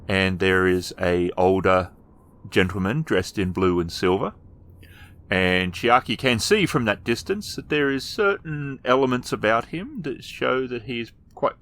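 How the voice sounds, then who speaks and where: A man narrating a tabletop roleplaying game as storyteller, describing scenes in improvised fragments and pausing to find words. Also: on the low side, pushed and bright.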